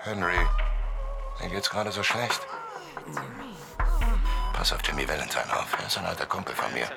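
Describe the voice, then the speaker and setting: A man's voice: sits low, animated, moderate pace, creaky. A man playing a delirious dying gangster in a psychedelic radio drama, rambling erratically and slipping into whispers. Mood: pleading, weak. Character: delirious dying male gangster, muttering and pleading. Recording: voice over loud music.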